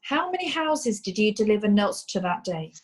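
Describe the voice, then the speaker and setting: A woman playing a brisk, formal lawyer questioning witnesses in a comic courtroom play. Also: mid-range voice, sing-song. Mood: formal, probing. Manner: formal, inquisitive.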